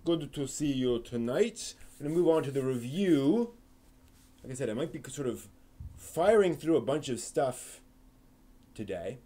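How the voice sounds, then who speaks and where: A man with a mid-pitched voice speaks as a live stream host. He talks informally through a review, backing up to reword his points as he goes. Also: expressive, unhurried, dark tone. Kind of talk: casual live review monologue.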